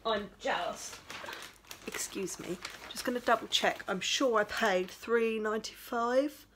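A woman's voice: on the high side, unhurried, background noise. Thirties lady, tired, chatty yarn vlog with lots of ums, small home craft room. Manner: chatty, rambling, with many fillers. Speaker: crochet vlogger. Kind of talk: casual chat, showing yarn.

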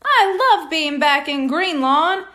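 A woman voicing a smooth, theatrical male stage hypnotist in a storybook reading, commanding and playful. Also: extremely high pitch, animated, bright, forceful tone, heavily acted. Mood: showy, jovial. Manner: theatrical, slow and deliberate.

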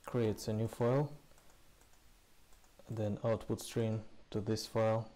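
A man presenting a programming tutorial in a casual walkthrough style, speaking haltingly in short, hesitant phrases. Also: lowish voice, little pitch movement, warm tone. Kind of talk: casual screencast code walkthrough. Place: indoors, voice-over recorded with screen capture.

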